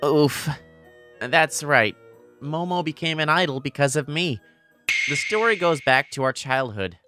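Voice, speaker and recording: A woman's voice: deep voice, expressive, measured pace, music in the background. A woman voicing an earnest, easily flustered teenage boy in a manga dub, narrating and speaking expressively. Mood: reflective. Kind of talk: manga dub dialogue and first-person narration.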